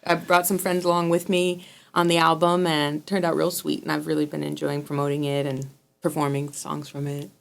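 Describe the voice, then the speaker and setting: A woman guest on a radio talk show, a children's musician and former teacher, talking in a relaxed, chatty way and laughing easily. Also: low voice, brisk, vocal fry. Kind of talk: casual radio interview.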